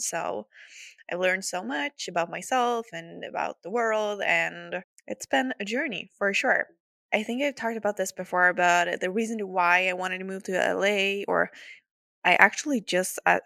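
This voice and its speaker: A woman's voice: mid-range voice, creaky, pushed and bright, no room sound, clean studio sound. A woman in her thirties from Sweden with a Swedish accent, hosting her podcast in a chatty, upbeat way with frequent laughs and restarts. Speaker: podcast host. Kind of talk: casual solo podcast storytelling.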